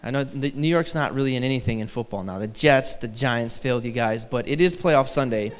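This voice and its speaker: A man's voice: medium pitch, brisk, clean tone. Male guest preacher, forties, thin light voice, self-mocking humor, reverberant hall. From Detroit. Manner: self-deprecating and joking, light nervous laughs. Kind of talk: sermon. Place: large church hall.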